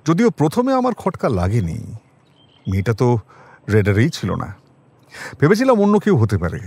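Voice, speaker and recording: A seasoned detective in an audio drama. He talks in a musing, gently ironic way, like someone thinking aloud, at a middling pitch. He is wry and humane, close to retirement. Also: wildly swooping pitch, moderate pace, slightly rough, exaggerated voice. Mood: reflective. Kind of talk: Bengali audio drama acting.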